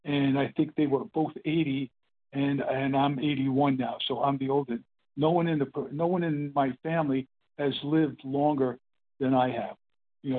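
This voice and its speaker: A man's voice: mid-range voice, husky. Older man in his eighties, rambling phone-in testimony, tinny line audio. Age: 80s. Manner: rambling, halting, with many fillers and restarts. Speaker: meeting speaker sharing his story. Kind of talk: casual spoken testimony.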